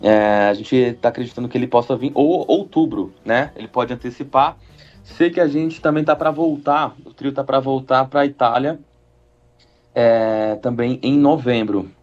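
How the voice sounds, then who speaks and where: A man, a double bassist, talking as a radio guest in a rambling, enthusiastic way with many fillers. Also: normal speed, darkish tone, muffled recording, soft background music. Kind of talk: radio interview.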